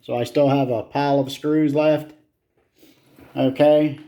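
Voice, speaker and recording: A man giving casual hands-on repair commentary, muttering to himself in short, halting phrases with some casual swearing. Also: medium pitch, flat delivery, dull audio. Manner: casually profane. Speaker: hobbyist repairer filming own repair. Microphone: handheld camera.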